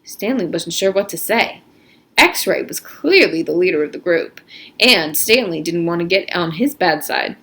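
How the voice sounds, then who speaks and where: A woman narrating a storybook aloud in an expressive, emphatic way. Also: fairly low pitch, unhurried.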